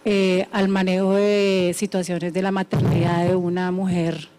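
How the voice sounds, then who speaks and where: A woman's voice: fairly low pitch, level tone, measured pace, mellow, soft background music. A woman asking a formal question from the audience at a conference, polite and hesitant in her phrasing.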